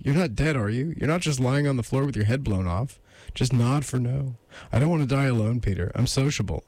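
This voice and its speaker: An agitated, frightened woman acted out by a male reader in an audiobook. The voice is pitched up and very dry, pleading and talking on nervously, with blunt, probing questions. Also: a little mellow, no echo. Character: agitated, frightened, talkative woman on hotel phone amid gunfire.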